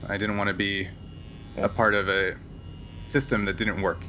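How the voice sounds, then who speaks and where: A man playing a frank, weary smuggler held prisoner in a tabletop game, speaking in a halting, earnest way. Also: fairly low pitch, little pitch movement, sharp tone, slight background noise, voice pushed down. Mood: frank, guarded.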